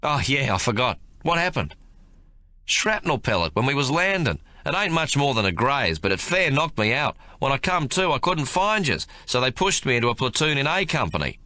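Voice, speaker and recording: Man putting on a higher, sheepish young private, apologetic, rough-spoken. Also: sing-song, normal speed, bright, forceful tone, no echo. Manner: apologetic, rough working-class speech.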